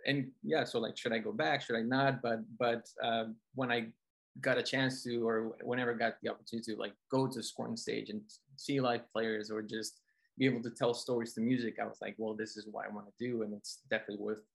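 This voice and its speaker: A man's voice: medium pitch, level tone, a little fast, vocal fry, rough recording. Man chatting casually. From Colombia. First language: Spanish. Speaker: interview guest, film composer. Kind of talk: casual conversational storytelling.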